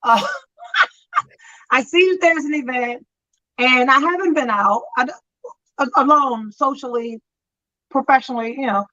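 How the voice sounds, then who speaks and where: A woman's voice: high voice, measured pace, pauses often, sharp tone, lo-fi sound. A woman in her fifties co-hosting a casual chat show, telling stories with frequent laughter, calling in from a friend's house. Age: 50s. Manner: chatty storyteller, laughs often. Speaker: talk show co-host.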